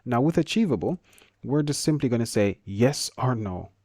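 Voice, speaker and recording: A man with a Jamaican accent teaching a screen-share lesson, talking quickly with stutters and restarts. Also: medium pitch, lively intonation, a little mellow, no echo, clean studio sound.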